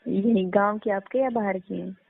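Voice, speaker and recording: A woman's voice: medium pitch, steady tone, moderate pace, gravelly. A young woman chats casually on a phone call, often checking for agreement. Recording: narrowband phone line, faint noise.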